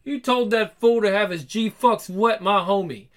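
A high, sharp-edged voice of an enraged comic-book man, acted by a male reader. He swears heavily and slangily, squaring up for a fight with every phrase. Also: measured pace. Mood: furious. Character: furious foul-mouthed man confronting a powerful enemy. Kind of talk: comic book read-aloud with character voices.

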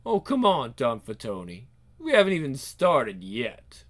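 A man's voice: mid-range voice, wildly swooping pitch, measured pace, a bit bright, pitched below the performer's own voice. A man voicing an urbane, sadistic assassin who taunts in a controlled, theatrical way. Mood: coldly amused. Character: sadistic, urbane male assassin, mocking, coldly amused villain.

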